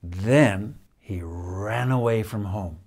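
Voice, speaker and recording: An older man reads a children's picture book expressively, with measured phrasing and dramatic pauses. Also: lowish voice, a little mellow. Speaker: storyteller reading a picture book. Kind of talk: expressive children's storybook reading. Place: indoors, room in a house with stone wall.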